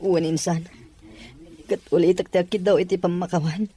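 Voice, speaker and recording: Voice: mid-range voice, steady tone, fairly quick, dark tone, breathy edge, close to an everyday voice. A performer playing a remorseful, pleading young male prisoner in an audio drama, with an Ilocano accent.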